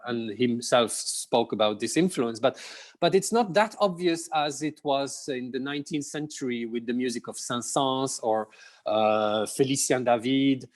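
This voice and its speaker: A man's voice: mid-range voice, a little slow. A man from Morocco, a guest pianist in a casual interview, who talks hesitantly and searches for words with many fillers.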